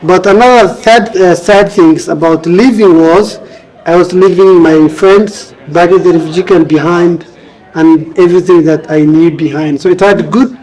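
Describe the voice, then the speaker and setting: A man's voice: high voice, fairly even intonation, normal speed, darkish tone, low-quality recording. A man with a Somali accent answering interview questions, explaining earnestly and repeating phrases for emphasis. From Somalia, grew up in Kenya. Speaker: interview guest, author and refugee advocate.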